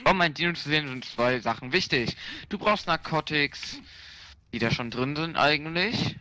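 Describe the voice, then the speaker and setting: Bright-voiced young gamer explaining. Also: male voice, fairly high pitch, expressive, moderate pace. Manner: instructive, teasing, impatient. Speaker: co-player explaining the game. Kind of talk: casual gaming chat.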